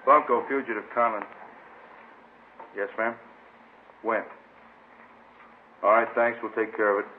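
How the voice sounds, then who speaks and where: Actor as low-voiced, laconic police detective, tinny radio. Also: male voice, steady tone, unhurried, long pauses, natural voice. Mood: matter-of-fact. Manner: casual, terse, slangy. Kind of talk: old-time radio drama acting. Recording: tinny, noisy vintage radio recording.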